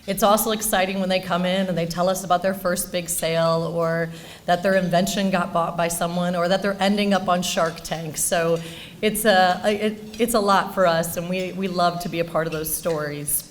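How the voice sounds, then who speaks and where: A woman's voice: lowish voice, steady tone, normal speed, slight background noise. A woman banker presenting an award in an informal, enthusiastic, anecdotal speech at a ceremony.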